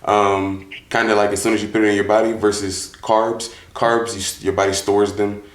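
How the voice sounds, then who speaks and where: A lower-voiced man talks as a podcast guest, loosely explaining with many fillers. The room adds a clear echo around his voice. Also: flat delivery, moderate pace. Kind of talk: casual explanation. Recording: roomy echo.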